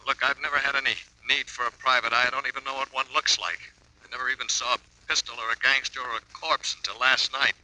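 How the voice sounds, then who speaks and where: An actor playing a frantic, shaken mystery writer in a hospital ward, in a 1950s radio drama. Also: male voice, mid-range voice, steady tone, fast talker, sharp tone, rough recording. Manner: agitated, pleading, shaky. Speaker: mystery author. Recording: old radio broadcast.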